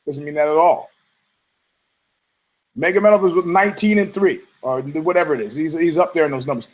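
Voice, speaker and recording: Hoarse, husky-voiced man rambling on a podcast. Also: a little high, fairly quick, low-quality recording. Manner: rambling, profane, frequent restarts. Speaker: podcast host. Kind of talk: casual rambling monologue.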